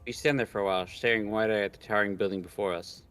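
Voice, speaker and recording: Casting: male stream co-host, deeper voice, loose joking delivery over quiet music. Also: fairly even intonation, racing, clear, resonant tone. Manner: joking, sweary, needling. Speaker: stream co-host reading the game's lines aloud. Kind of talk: casual banter and reading aloud from a visual novel. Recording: music playing behind the voice.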